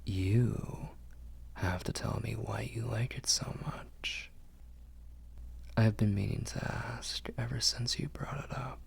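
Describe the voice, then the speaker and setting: A man playing a protective, tender vampire lord in an intimate ASMR roleplay, whispering in a breathy, husky, reassuring way. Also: fairly low pitch, heavily acted. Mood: reassuring, coaxing. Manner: slow, hushed, reassuring. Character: protective, tender male vampire lord soothing his human.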